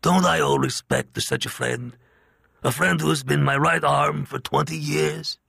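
A man voicing a gravelly old mob boss. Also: a little high, fairly even intonation, sharp tone, no room sound, clean studio sound, pitched above the performer's own voice, heavily acted. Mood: persuasive. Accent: slight Italian. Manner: measured, grave, paternal. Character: aging Italian crime boss, calm and commanding.

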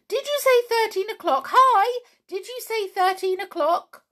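A woman voicing an anxious, excitable little pixie in a children's storybook, exclaiming urgently. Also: sits high, slow, voice pushed up. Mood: alarmed.

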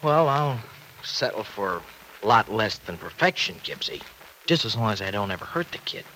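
A man's voice: fairly low pitch, sing-song, normal speed, sharp tone. Slangy American regular guy, old radio drama. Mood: earnest, regretful. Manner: slangy, hesitant, plain-spoken. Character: rough-edged, slangy, soft-hearted regular guy named Freddie. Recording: noisy old radio show recording.

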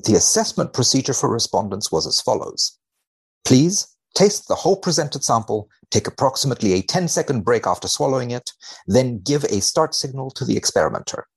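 Deadpan guy on a podcast, gravelly and husky, dry humour, reading science stuff out. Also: mid-range voice, brisk. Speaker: podcast guest, biomedical researcher. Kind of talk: wry conversation and dramatic reading of a research paper.

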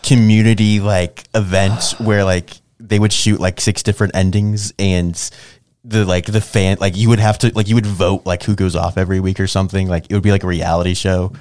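A man co-hosting a podcast in casual banter, talking in a rambling, halting way full of "like". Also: low-pitched, little pitch movement, a little mellow, dry recording. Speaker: podcast co-host. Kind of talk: casual banter about video game movies.

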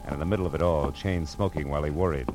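A man's voice: very deep voice, rapid-fire. A man with an American accent playing a tough, wry private detective in a 1940s radio crime drama, clipped and hard-boiled. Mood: sardonic narration. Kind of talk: 1940s radio crime drama acting, first-person narration. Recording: vintage radio recording with music behind.

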